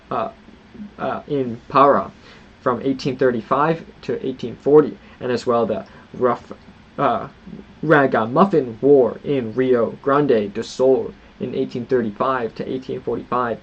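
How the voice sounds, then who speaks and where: A man's voice: mid-range voice, husky, highs cut off. Man reading history notes with offhand asides. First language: not Portuguese. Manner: reads scripted points, then adds loose unscripted asides with restarts. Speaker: history channel host.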